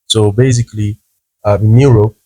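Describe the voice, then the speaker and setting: A man from the Brazil–Paraguay–Argentina border region, a guest on a podcast, talking in a relaxed, repetitive way. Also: lowish voice, moderate pace, low-quality recording. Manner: relaxed, repeats phrases, agreeable. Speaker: guest, content creator and language teacher. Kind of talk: casual interview.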